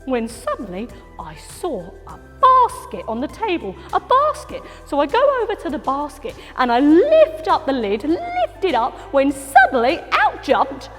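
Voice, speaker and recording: A woman voicing an excitable young boy puppet who tells his adventure to children in a dramatic, exclamatory way. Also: high-pitched, voice pushed up, exaggerated voice. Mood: wondering. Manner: exclamatory, dramatic, drawn-out storytelling with sound effects. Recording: echoey hall with music.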